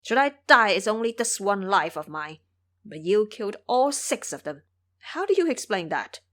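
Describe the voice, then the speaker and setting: Casting: earnest, moralizing male Buddhist monk for an audio drama, admonishing manner, mid-pitched performed by a woman. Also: sing-song, normal speed, fairly bright tone. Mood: stern. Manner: preachy, admonishing.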